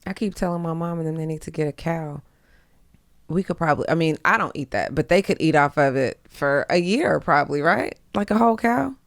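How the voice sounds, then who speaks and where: A woman's voice: deep voice, lively intonation, brisk, no echo, clean studio sound. A woman chatting casually as a podcast guest, blunt and teasing. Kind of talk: casual chat.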